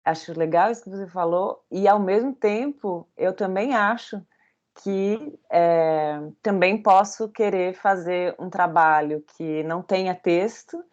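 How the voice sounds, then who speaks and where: A woman moderates an online panel in a fairly low voice. She talks quickly and amiably, with small laughs between phrases. Her audio comes through muffled. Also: a little mellow. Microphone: video call.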